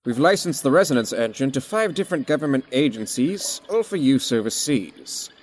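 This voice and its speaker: Man playing a serious advisor, lively pitch. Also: normal speed, a little hiss or hum, close to an everyday voice. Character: measured businessman advisor, quietly informative. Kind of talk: audio drama acting.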